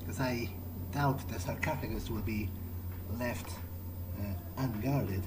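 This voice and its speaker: A man's voice: medium pitch, slow, very noisy. A man doing in-character commentary on a video game playthrough, thinking aloud in short, hesitant phrases. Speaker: gamer playing in character. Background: game music.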